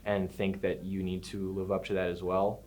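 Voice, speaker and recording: A man's voice: deep voice, completely flat delivery, moderate pace, a little mellow, slight background noise. A man in his twenties, a college junior, speaking reflectively in an interview. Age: 20s. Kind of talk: documentary interview.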